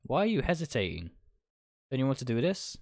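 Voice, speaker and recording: A man's voice: mid-range voice, sing-song, a little fast, a little mellow, dry recording, studio quality. A man voicing an obsessive, possessive young woman in a visual novel, coaxing yet commanding. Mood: coaxing, proud. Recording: music behind the voice.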